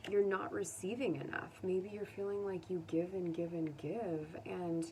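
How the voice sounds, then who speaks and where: An American woman giving a casual, unscripted tarot reading to viewers, conversational and musing, pausing to think aloud. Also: fairly low pitch, steady tone, dark tone. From United States. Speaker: tarot reader. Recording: distant mic with faint background noise.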